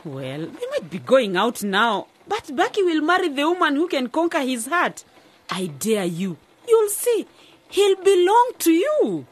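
A woman's voice: high-pitched, huge pitch swings, a little slow, full character voice. A woman playing a pushy, scheming middle-aged mother in a radio drama, bossy and cajoling. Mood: goading.

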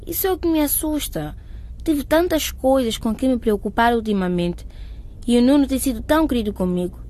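A woman acts a teenage schoolgirl in a radio drama, with a fairly high voice. She sounds earnest and dutiful, with an anxious, hesitant edge and polite manners. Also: lively intonation, fairly quick, dark tone, lightly acted. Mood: confused, worried. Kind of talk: scripted radio soap acting.

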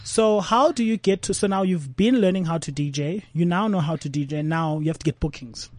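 High-pitched man hosting, dry close sound, upbeat and coaxing. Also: fairly quick, a little mellow, no room sound. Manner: hyped, encouraging questioner. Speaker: host. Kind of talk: casual interview.

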